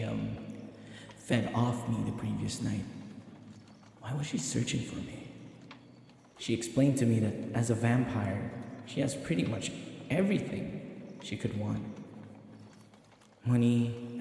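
A man's voice: mid-range voice, gravelly, dark tone, a little hiss or hum. A young man narrating a nervous, bewildered first-person audio roleplay, like reading a journal entry aloud. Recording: roomy reverberant echo.

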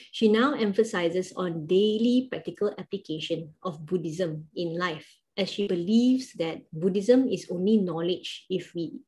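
A woman's voice: medium pitch, darkish tone. A young adult woman hosting an online event, reading a formal introduction of the speaker steadily over a headset on a video call. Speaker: event host.

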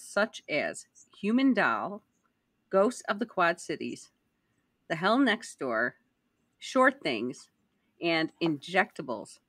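A woman's voice: on the low side, fairly bright tone, no room sound. A woman hosting a podcast, reading a cheerful introduction and interviewing her guest. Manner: cheerful, laughs often. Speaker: podcast host.

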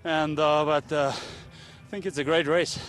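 A ski racer talking at the finish area. He answers earnestly, pausing on fillers, his voice sitting a little high. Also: steady tone, fairly bright tone.

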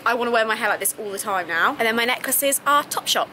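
A woman's voice: a little high, expressive, fast. A young woman vlogging in a moving car, chatty and bubbly, filming herself at arm's length. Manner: bubbly, chatty, lots of fillers. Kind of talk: casual vlog chat. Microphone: camera held at arm's length. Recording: loud car and road noise.

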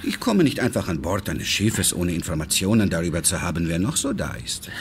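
An actor playing a suave, fatherly and controlling businessman in a radio crime drama, speaking deliberately and courteously with veiled menace. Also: deep voice, very animated, a little hiss or hum. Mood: knowing, controlled.

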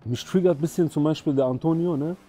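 A man's voice: on the high side, fast talker, warm tone, slight background noise. A young man on a jury, talking casually and hesitantly with many fillers. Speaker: guest juror. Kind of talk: casual chat.

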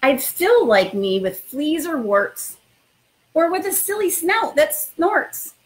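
Higher-voiced woman reading to children. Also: very animated, slow pace. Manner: encouraging, addresses children directly. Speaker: elementary school principal. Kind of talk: informal talk and children's picture book read-aloud.

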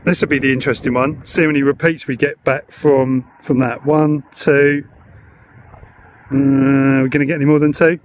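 A middle-aged man with an English accent talks through things in a casual vlog style, at an ordinary mid pitch. He thinks aloud informally, recorded on a handheld camera with clear ambient noise around him. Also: little pitch movement, normal speed, poor audio. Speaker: vlogger. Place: parked car and open grassy hilltop outdoors.

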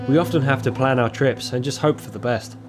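A man gives a calm, reflective voice-over at a mid pitch, each sentence measured and unhurried. His voice sits over clear music playing beneath the narration. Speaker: filmmaker narrating his own trip. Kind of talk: scripted documentary voice-over narration. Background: music playing under the voice.

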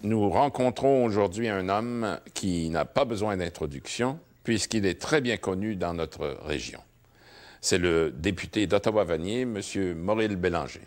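A man's voice: sits low, expressive, measured pace. A middle-aged man hosting a community television interview, asking questions in a formal, polite way. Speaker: TV interview host. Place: living room at home.

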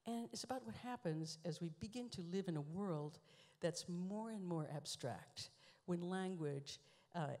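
A woman telling dry, musing stories with deliberate pauses, performing a spoken-word monologue into a stand microphone on a large theater stage. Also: fairly low pitch, a little mellow. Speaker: performance artist reading her book on stage. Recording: live hall reverb.

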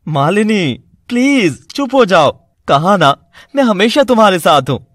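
A man's voice: high voice, big pitch swings, measured pace, a bit bright, no room sound, clean studio sound, heavily acted. A man playing a devoted, worried young college student in a cartoon story, earnest and reassuring.

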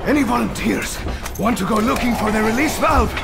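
An actor voicing a vain, romantic gentleman adventurer in a video game, with a pompous, florid, theatrical delivery. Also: extremely high pitch, fairly quick, gravelly, very noisy. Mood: nudging. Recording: loud game music and gunfire behind. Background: loud music, gunfire.

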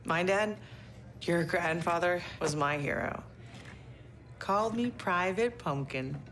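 An actress playing a tough young army lieutenant with a dry, sarcastic way of talking in a video game cutscene. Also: female voice, fairly low pitch, slow, a bit bright, slight background noise.

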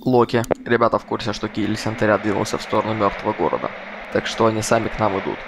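A man voicing a brisk, businesslike army radio operator in a cartoon, with clipped reports. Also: fairly low pitch, fairly even intonation, creaky, noisy background. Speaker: voice actor. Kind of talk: cartoon dub acting.

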